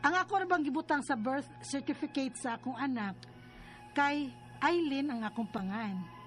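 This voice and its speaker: A woman playing a confused, pleading young mother with a Cebuano accent in a radio drama. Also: high voice. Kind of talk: radio drama acting and letter narration. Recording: music behind the voice.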